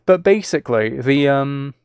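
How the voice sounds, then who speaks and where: A man's voice: medium pitch, animated, a little slow, a little mellow, smooth, no room sound. A man chatting casually as he hosts a livestream, rambling and thinking aloud. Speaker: streamer.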